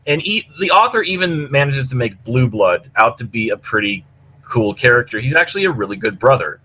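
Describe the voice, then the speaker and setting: A man's voice: mid-range voice, bright, forceful tone, low-quality recording. A man giving a casual, enthusiastic, rambling review of stories as a podcast co-host, speaking over an online voice chat. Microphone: computer mic on online voice chat. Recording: clear background noise, push-to-talk online voice chat.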